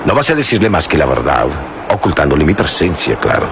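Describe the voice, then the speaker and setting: An actor playing a calm, assured hero in a radio drama who speaks in measured tones. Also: male voice, rumbling low, heavy background noise, full character voice. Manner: measured, assured, soft laughs. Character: calm, commanding male hero, mystic adventurer. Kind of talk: vintage radio drama acting.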